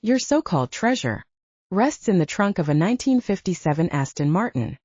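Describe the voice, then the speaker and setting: A woman's voice: fairly low pitch, very animated, moderate pace, darkish tone, slightly rough, no room sound. A woman voicing an intense, earnest adult male werewolf with formal phrasing in an audiobook story. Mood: earnest, awed. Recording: dull lo-fi audiobook recording.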